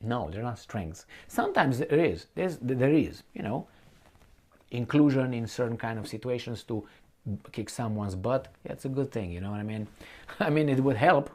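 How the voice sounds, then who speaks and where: A man giving an unscripted, opinionated political commentary, speaking bluntly and colloquially, with halting repetition and rhetorical questions to the listener. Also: medium pitch, animated. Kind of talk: unscripted opinionated monologue to viewers.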